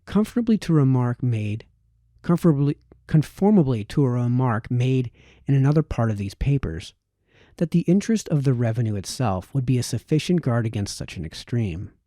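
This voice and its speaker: A man reading a formal historical text aloud in audiobook style, with measured phrasing and the occasional stumble. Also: mid-range voice, expressive, mellow, dry recording, studio quality. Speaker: amateur reader of historical texts.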